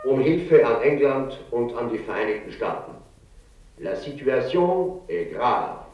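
An actor as a distant, echoing wartime announcer. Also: male voice, mid-range voice, expressive, measured pace, close to an everyday voice. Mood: grave. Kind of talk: radio play announcement. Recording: very echoey, distant, muffled announcement sound.

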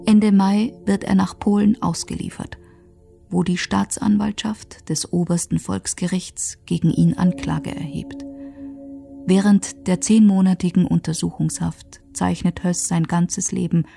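A woman narrates a historical radio documentary in a sober, measured way. Her voice is mellow and slightly low, with marked creak, and her delivery is factual and scripted. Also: fairly even intonation, faint music behind.

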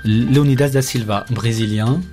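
A man's voice: mid-range voice, measured pace, mellow, soft background music. A man speaking as a radio interview guest, colloquial and hesitant, often repeating his words as he explains. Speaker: interview guest, journalist who researched football history.

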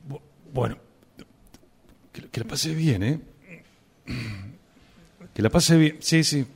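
An actor playing a hesitant, polite man who stammers, with a creaky voice, in a radio theatre exercise. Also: on the high side, full character voice. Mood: flustered politeness. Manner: halting, stammering.

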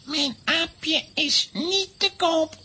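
Hoog, ernstig, langzaam pratend jongetje. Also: female voice, very high voice, drawn-out words, bright, forceful tone, heavily acted. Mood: upset. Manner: simple, earnest storytelling. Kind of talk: children's audio drama acting. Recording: background noise, crickets.

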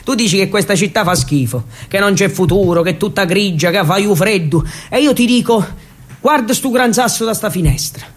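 A man's voice: high voice, lively intonation, moderate pace. A man playing a proud provincial father with an Abruzzese accent, insistent and colloquial in a theatre monologue. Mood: reproachful, proud. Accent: central Italian, Abruzzese. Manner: colloquial, reproachful, insistent persuading. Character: proud provincial father attached to his town and house.

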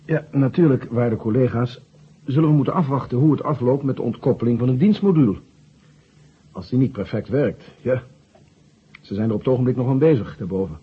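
An actor playing a formal, cautious colleague giving mission reports in a 1970 radio drama. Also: male voice, mid-range voice, brisk, breathy edge. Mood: concerned, measured. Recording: muffled, phone-like, hissy old radio recording.

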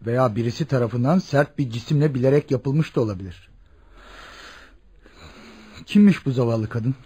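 A man playing a cautious senior hospital physician in a radio drama, speaking in a measured, deliberate, authoritative manner. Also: medium pitch, dark tone, husky, close to an everyday voice. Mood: grave, pondering. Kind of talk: Turkish radio theatre acting.

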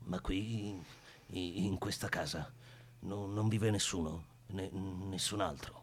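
A man's voice: low voice, fairly even intonation, takes it slowly, a little hiss or hum. An actor playing an exasperated, flustered captive man in a radio drama, stammering and protesting in a theatrical way. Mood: hesitant, wary.

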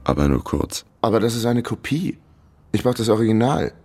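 An actor playing a cheeky, bluffing amateur detective and wry narrator in a German radio crime drama, deadpan and self-assured. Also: male voice, fairly low pitch, a little fast. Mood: dry, wry.

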